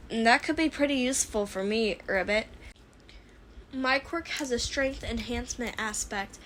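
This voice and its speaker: Woman being a blunt froggy teen girl, high voice, matter of fact, noisy fanfic reading. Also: measured pace. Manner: blunt, flat, adds "ribbit" to sentences. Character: blunt, practical frog-like teenage girl hero student.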